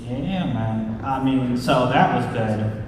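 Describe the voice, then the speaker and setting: A man's voice: mid-range voice, slow pace, background noise. A man hosting a convention panel, talking casually and informally with slangy phrasing. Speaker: panel host. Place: large indoor convention panel room. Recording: echoey hall, distant, crowd murmur.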